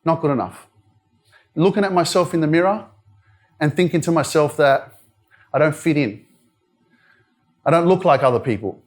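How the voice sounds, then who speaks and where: A man's voice: a little high, normal speed, long pauses. A man with an Australian accent giving a casual, direct motivational talk at a live indoor event, chatting with the crowd and swearing now and then. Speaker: motivational speaker.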